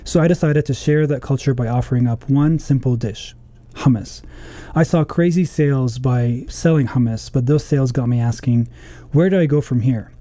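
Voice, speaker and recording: Dark-toned Lebanese-born man telling his story. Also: mid-range voice, moderate pace, dry recording. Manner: earnest, personal storytelling. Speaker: small business owner in an ad. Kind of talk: scripted ad testimonial.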